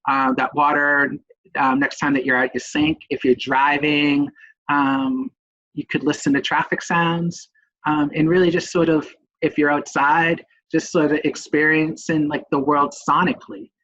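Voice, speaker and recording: A middle-aged man with a Boston accent, a nurse presenting in a webinar, talking haltingly with many ums. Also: on the high side, flat delivery, fairly bright tone, dull audio. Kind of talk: webinar talk.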